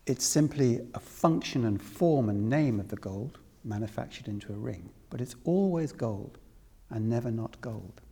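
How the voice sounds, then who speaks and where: A man from Wales teaches spiritual philosophy to a room in a creaky, soft-edged voice. He builds his points deliberately, repeating phrases and posing questions. Long hall reverb surrounds his words. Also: medium pitch, expressive. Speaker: spiritual teacher leading a talk. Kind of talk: spiritual discourse to a live audience.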